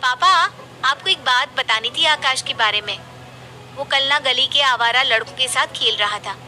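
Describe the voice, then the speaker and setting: A woman's voice: high-pitched, normal speed, pushed and bright, slight background noise, poor audio. A woman voicing a pushy, scheming, sweet-talking young daughter in a moral story cartoon, wheedling and insistent. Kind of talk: animated moral story dialogue.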